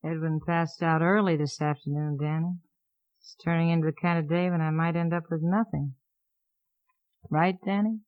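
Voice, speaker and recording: An actress playing a sardonic, world-weary widow and murder suspect in a radio crime drama. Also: female voice, deep voice, fairly even intonation, normal speed, heavy background noise, lightly acted. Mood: wry, tipsy. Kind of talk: 1950s radio crime acting. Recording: muffled old radio recording.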